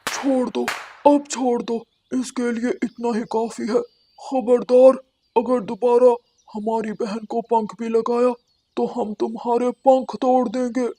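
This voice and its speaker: A woman's voice: on the high side, flat delivery, unhurried, mellow. A woman voicing an angry male cartoon crow who threatens and commands on his sister's behalf. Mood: threatening. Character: angry crow brothers, gruff male avengers of their sister.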